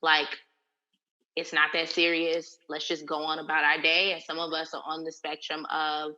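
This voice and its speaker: A woman co-hosting a casual group podcast, talking earnestly in long run-on sentences. Her voice is bright and forceful, sitting a little low. The recording is dull and lo-fi. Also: completely flat delivery, moderate pace. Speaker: podcast co-host.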